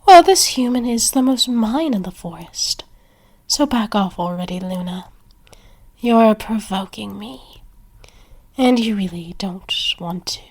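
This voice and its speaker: Woman playing a slow-talking, possessive vampire lady. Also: mid-range voice, lively intonation, a little mellow. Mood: possessive, menacing. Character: possessive, haughty yandere vampire woman, flirty and threatening. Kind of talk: ASMR roleplay acting.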